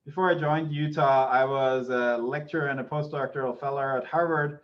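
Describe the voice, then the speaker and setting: A man's voice: mid-range voice, fairly even intonation, moderate pace, lo-fi sound. A middle-aged man from Austria, a native German speaker with an Austrian accent, giving an informal online university lecture. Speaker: university lecturer, associate professor.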